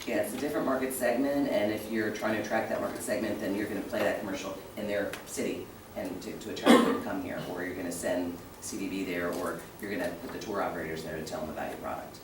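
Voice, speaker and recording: A man on a panel speaking briskly and emphatically, thinking aloud. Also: low voice, steady tone, very noisy. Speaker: panelist on tourism. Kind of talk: panel discussion. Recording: echoey room, distant mic.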